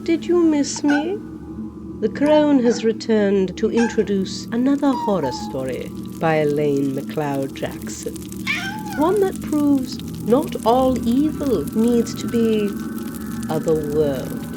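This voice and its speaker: A gleefully wicked old hag who presents horror tales on an audio drama. She speaks very slowly and theatrically in a high, swooping voice, relishing each phrase with mock affection. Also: sing-song, loud music behind the voice. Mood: gleefully sinister. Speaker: horror anthology host. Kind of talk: theatrical horror show introduction.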